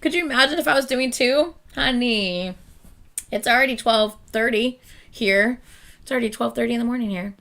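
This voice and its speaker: A woman's voice: fairly high pitch, bright, forceful tone. Chatty woman, voice starting to wear out. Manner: chatty, laughs often, answers chat. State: losing her voice. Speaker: reaction channel host. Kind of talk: casual livestream chat with viewers.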